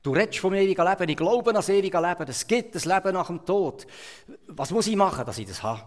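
Swiss preacher in a reverberant hall. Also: male voice, high voice, lively intonation, fast. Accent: Swiss German. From Switzerland. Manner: storytelling, swings between loud emphasis and quiet asides. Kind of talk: sermon.

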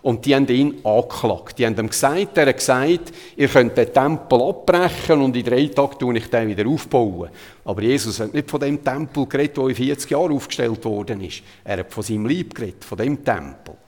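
A man's voice: mid-range voice, lively intonation, fairly quick, a little mellow. Zurich Swiss man preaching in a reverberant hall. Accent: Zurich Swiss German. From Zurich, Switzerland. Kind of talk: sermon with Bible retellings, conversational.

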